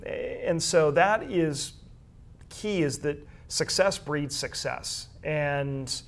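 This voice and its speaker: A man discussing high school sports in a conversational way, explaining at length with measured, slightly halting phrasing. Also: lively intonation, gravelly.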